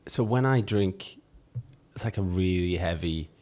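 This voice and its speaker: A man's voice: deep voice, unhurried, darkish tone. A man, co-hosting a casual podcast, rambling and hesitant. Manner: rambling, hesitant, lots of fillers and laughs. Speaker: podcast co-host, musician. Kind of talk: casual chat.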